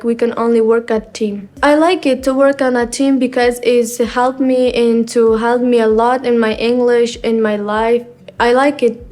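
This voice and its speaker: A teenage girl from Afghanistan speaks English, her voice on the higher side. She talks in short, halting learner phrases and pauses often. Soft music plays faintly behind her. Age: teens. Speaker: student. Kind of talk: personal testimony.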